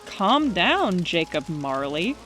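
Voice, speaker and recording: Wry stubborn young skeptic, teasing and brisk, audio drama, bit of music behind. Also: female voice, on the low side, big pitch swings, a bit bright, full character voice. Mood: dismissive.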